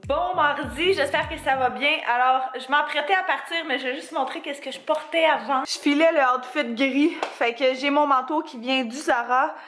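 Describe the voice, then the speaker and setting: Young Québécoise woman vlogger, bright-ish voice, casual chat, roomy sound with faint hiss. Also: a little high. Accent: Quebec French. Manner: chatty, relaxed, fillers like "fait que". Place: home kitchen and parked car. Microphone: handheld camera at arm's length.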